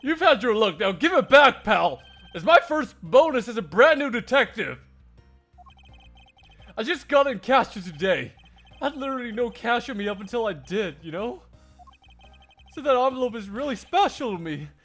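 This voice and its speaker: A man voicing an earnest, blustering police detective in a shouty, indignant manner for a game fandub. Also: extremely high pitch, very animated, moderate pace, faint music behind, pitched above the performer's own voice, full character voice. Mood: indignant, loud. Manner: shouty, indignant, ends phrases with "pal". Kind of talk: video game fandub acting.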